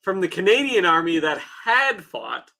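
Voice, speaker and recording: Podcast co-host: a laid-back man with a Minnesotan accent, bright, slightly high voice. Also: sing-song, a little slow, vocal fry. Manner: laid-back, swears freely, laughs often. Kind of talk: casual chat.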